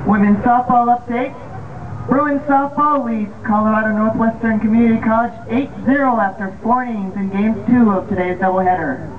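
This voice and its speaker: An American woman working as a baseball public address announcer, reading scripted announcements in a measured way over an outdoor ballpark's loudspeakers. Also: a little high, steady tone. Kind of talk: scripted PA announcing of lineups, promotions and game updates. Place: outdoor baseball field, heard over the PA system. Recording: tinny distant ballpark loudspeaker, outdoor noise.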